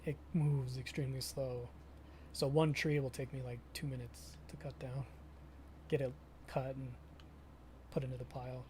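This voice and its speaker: A young man chatting casually with viewers during a live stream of a farming game, often pausing and restarting his sentences. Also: mid-range voice, little pitch movement, dark tone, slight background noise. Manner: halting, self-correcting, chatty with viewers.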